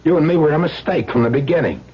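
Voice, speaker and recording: A man's voice: on the high side, animated, fast talker, a little hiss or hum, lightly acted. An actor playing a hardened, desperate ex-convict who talks in menacing tough-guy slang in a radio thriller. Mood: bitter, threatening. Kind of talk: old-time radio thriller acting. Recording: dull vintage radio recording.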